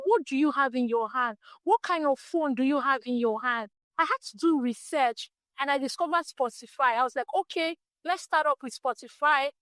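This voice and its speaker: A woman's voice: sits high, no echo. A woman with a Nigerian English accent hosting a solo podcast, talking earnestly and encouragingly about her path as a creative and her faith. She has a small, light voice. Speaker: podcast host. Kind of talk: reflective solo podcast talk.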